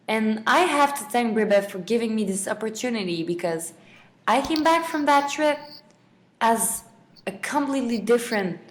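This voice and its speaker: Young female student, slightly high pitch, semi-prepared interview guest delivery. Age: young adult.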